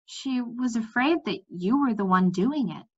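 A woman's voice: on the high side, lively intonation, measured pace, husky, muffled recording. A woman reading the part of a candid, matter-of-fact young woman in a fantasy storybook. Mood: worried.

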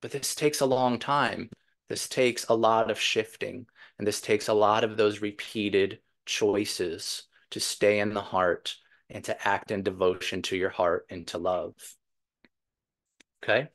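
Lower-pitched man giving spiritual teaching. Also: fairly even intonation, a bit bright. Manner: earnest, deliberate, emphatic repetition. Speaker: guest spiritual speaker. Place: indoors, seated before a virtual backdrop.

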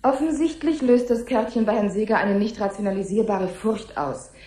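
Radio play: matter-of-fact woman official actress, mellow tone, formal explanation, roomy acoustic. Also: medium pitch, moderate pace, lightly acted. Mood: composed, factual. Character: composed female Soviet technical delegate explaining procedure. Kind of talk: formal answer in 1970s radio drama.

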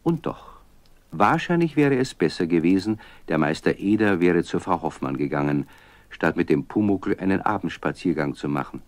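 Narrator for children's audio drama: low-voiced man, expressive storytelling, dry studio sound. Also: normal speed, no room sound. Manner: storyteller addressing young listeners with teasing questions.

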